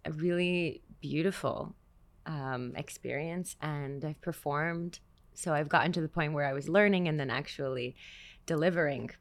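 A young woman from Canada, a singer guest, talking in a relaxed, reflective way and laughing easily. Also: deep voice, moderate pace. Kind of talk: casual interview chat.

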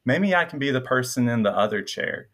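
A man in his twenties with a light Tennessee Southern twang, a guest telling his story in a relaxed, reflective way with frequent fillers. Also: mid-range voice, a little fast. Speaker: guest, incoming psychiatry resident. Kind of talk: casual interview chat.

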